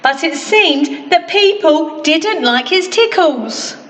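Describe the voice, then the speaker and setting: Slow, high-pitched woman storyteller in echoey hall. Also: expressive, a bit bright. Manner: theatrical, sound effects drawn out. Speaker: storyteller at a school. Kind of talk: expressive children's storybook reading. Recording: echoey hall, far from mic.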